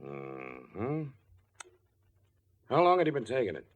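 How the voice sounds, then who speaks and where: An actor playing a businesslike but easygoing police inspector, clipped and matter-of-fact, in 1940s radio style. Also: male voice, on the low side, wildly swooping pitch, slow pace, highs cut off, exaggerated voice. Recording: vintage 1940s radio broadcast.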